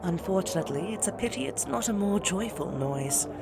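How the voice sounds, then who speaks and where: A woman's voice: mid-range voice, expressive, a little fast, creaky, very noisy. An actress playing a regal, doting and shrewd mother queen of the gods in an audio drama, speaking with formal, coaxing poise. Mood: tender, coaxing.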